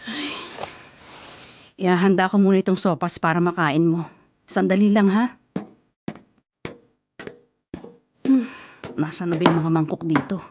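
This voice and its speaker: A woman's voice: lowish voice, normal speed, pauses often, a little mellow, dull audio, a little hiss or hum, close to an everyday voice. An actress playing an older, worried and caring Filipino mother in a radio drama, fussing and nagging at her grown son. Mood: fussing, tender.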